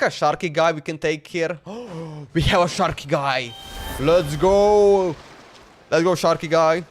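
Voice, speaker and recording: A man doing casual, slangy live commentary as he streams a video game. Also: fairly high pitch. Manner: slangy, informal. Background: game music.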